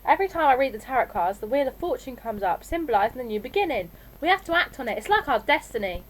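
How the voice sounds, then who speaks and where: A woman's voice: high-pitched, sing-song, quick pace, pitched above the performer's own voice, low-quality recording. A woman voicing a stubborn, bossy, middle-aged working-class mum in an audiobook, with a scolding, colloquial manner. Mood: insistent. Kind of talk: audiobook character voice.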